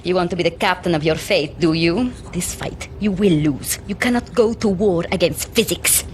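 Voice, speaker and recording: A woman's voice: on the low side, normal speed, slight background noise, close to an everyday voice. A woman playing a haunted, fatalistic scientist in a video game, with clipped, intense, formal phrasing. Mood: scornful, fatalistic. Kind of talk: video game cutscene acting. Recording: loud music behind the voice.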